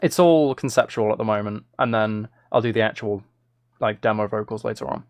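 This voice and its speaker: A man's voice: fairly low pitch, brisk, dry recording. A British man thinking aloud in a rambling, casual way, like a streamer chatting while he works on music. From Britain. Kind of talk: casual chat while making music on a livestream. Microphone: streaming microphone, close.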